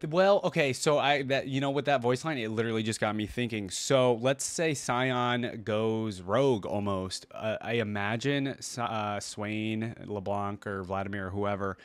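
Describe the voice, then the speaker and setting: A man's voice: on the low side, moderate pace, few pauses, smooth, no echo, faint music behind. A man giving casual, informal reaction commentary as a video host, thinking aloud as he goes.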